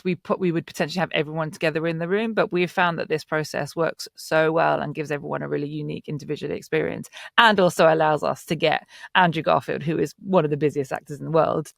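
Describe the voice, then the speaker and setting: Man, fairly high pitch, creaky, dry close sound, explanatory panel talk. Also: a bit bright, no echo. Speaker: audio drama producer.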